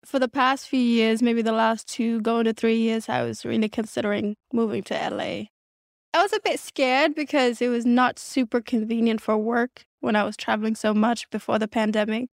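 A woman's voice: on the high side, steady tone, moderate pace, dry recording, clean studio sound. A young woman from South Sudan, raised in Kenya and Australia, speaking as an interview guest, reflective and earnest, with frequent restarts. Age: young adult. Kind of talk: candid conversational interview.

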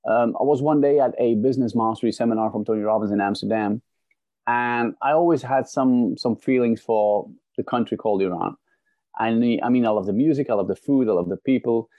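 A middle-aged man from Belgium, a guest on a podcast interview, telling a story in an animated way and often repeating words as he starts a sentence. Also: medium pitch, no echo. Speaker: guest, motivational speaker. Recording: dry, dull lo-fi sound.

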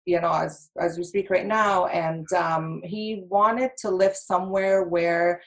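A woman's voice: on the low side, steady tone, slow pace, rough recording. A woman in her thirties from Egypt, a podcast guest talking chattily with lots of laughs and fillers. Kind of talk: casual interview chat.

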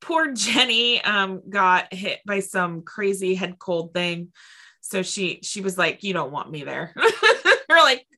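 A woman co-hosting a veterinary podcast in casual chat, acting out little imagined quotes in an animated way, with a slightly stuffy nose. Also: mid-range voice, slow pace. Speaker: podcast co-host; veterinary technician.

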